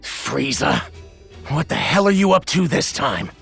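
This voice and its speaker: A man playing an earnest, fiery martial-arts hero in an anime video game, with a gravelly, forceful voice. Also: mid-range voice, wildly swooping pitch, a little slow, heavily acted. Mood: defiant. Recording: music behind the voice.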